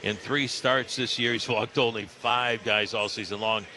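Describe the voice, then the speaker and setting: An American man calling live baseball play-by-play on the radio, with conversational, folksy asides between pitches. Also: fairly even intonation, normal speed, pushed and bright, a little hiss or hum. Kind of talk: live sports commentary. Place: broadcast position at an open-roof ballpark.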